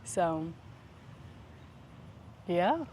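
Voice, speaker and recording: A woman talking in a casual, reflective vlog style, earnest and introspective, with deliberate pauses. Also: lowish voice. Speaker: vlog host. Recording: clear steady background noise.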